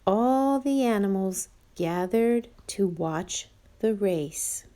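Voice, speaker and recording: A woman reads a picture book aloud to small children. Her pace is slow and her pitch very lively, with an expressive, playful storyteller's delivery. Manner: expressive, addresses listeners playfully.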